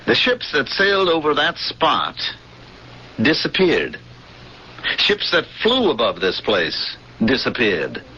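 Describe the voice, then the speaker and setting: An actor playing a male ex-smuggler space pilot who is frightened and insistent, with a halting, tense delivery, in a 1970s radio drama. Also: mid-range voice, wildly swooping pitch, bright, forceful tone, a little hiss or hum, heavily acted. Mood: fearful. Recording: dull 1970s radio broadcast.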